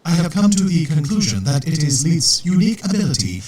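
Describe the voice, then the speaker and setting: An actor playing a formal, deadpan, world-weary robot assassin in a scripted audio comedy. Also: male voice, a little high, fairly quick. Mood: coolly matter-of-fact. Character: dry, world-weary male robot assassin posing as repair bot. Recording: roomy echo, distant from mic.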